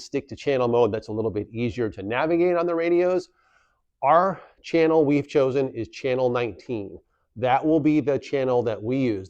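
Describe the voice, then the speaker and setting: Low-voiced man giving instructions. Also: darkish tone, dry recording, highs cut off. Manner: plain, step-by-step, repeats key points for emphasis. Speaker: instructional video presenter for a community association. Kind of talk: instructional how-to explanation.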